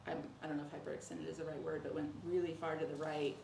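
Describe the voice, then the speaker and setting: Low-voiced, creaky woman. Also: little pitch movement, rapid-fire. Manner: informal, peppered with "like", quoting others. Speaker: patient. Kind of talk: casual conversational account of symptoms. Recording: roomy echo with clear background noise.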